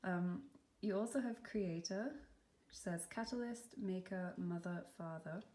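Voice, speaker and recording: A young woman with a cold and a slightly sniffly voice, giving a gentle, reassuring tarot reading with some softly whispered asides. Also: lowish voice, unhurried. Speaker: tarot reader. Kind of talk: pick-a-card tarot reading.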